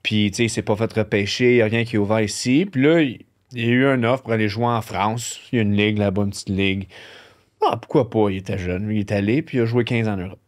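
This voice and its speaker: A man with a Québécois accent speaks as an interview guest, his voice on the low side. He tells his stories in an easygoing, meandering way, filling pauses with "tu sais". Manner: relaxed, rambling, lots of "tu sais". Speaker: professional hockey player, guest. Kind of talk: casual interview storytelling.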